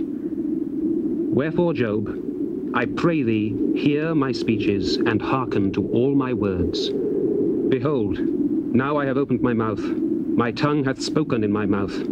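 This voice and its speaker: A man's voice: fairly high pitch, lightly acted. An actor playing an earnest, indignant young man of the Bible, declaiming archaic oratory in a dramatized scripture reading. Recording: muffled tape transfer, loud noise.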